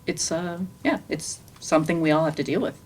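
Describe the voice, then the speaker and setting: A woman's voice: low voice, brisk, a little mellow, a little hiss or hum. A woman speaking unscripted as an actor on a discussion panel, hesitant and often searching for her words. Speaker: panelist, stage character actor.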